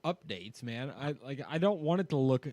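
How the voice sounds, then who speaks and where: A man's voice: medium pitch, lively intonation, moderate pace, darkish tone, dry recording. A man in casual podcast chat, laid-back and profane, laughing along. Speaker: podcast co-host.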